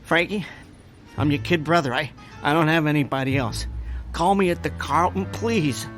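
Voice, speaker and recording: A man acts out a desperate small-time hustler with a high voice. He is a nervous wheedler who trips over his words in a radio drama, with faint music underneath. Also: a bit bright, lightly acted. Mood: desperate, pleading. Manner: wheedling, nervous, stammering fast talker.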